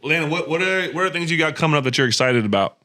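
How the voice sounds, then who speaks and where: A man in his twenties from Florida hosting a podcast, relaxed and curious, asking short questions. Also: on the high side, rapid-fire. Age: 20s. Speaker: podcast host. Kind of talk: casual podcast interview.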